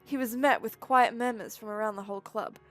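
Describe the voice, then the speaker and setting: Woman reading in mock-serious deadpan. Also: a little high, fairly quick, dry recording, soft background music. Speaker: reader. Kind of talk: mock-dramatic comedic reading of fan fiction.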